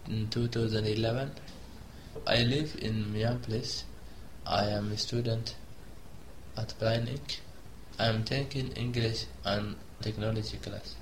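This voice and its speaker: A man from Somalia, an adult student speaking in slow, steady short phrases of learner English. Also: medium pitch, slight background noise, rough recording.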